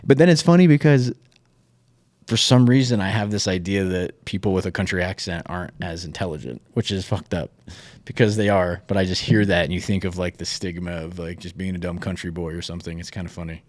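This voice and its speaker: A man's voice: sits low, fairly quick, a little mellow, dry recording. A man from Colorado co-hosting a podcast, chatting in an easygoing way and laughing along. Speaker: podcast co-host. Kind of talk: casual chat.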